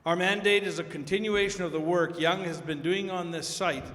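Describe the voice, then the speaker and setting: A man's voice: sits high, fairly even intonation, normal speed, fairly bright tone. A man presenting a prepared sponsor message at a live event in a large hall. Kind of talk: prepared scripted announcement. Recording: echoey hall with long reverb.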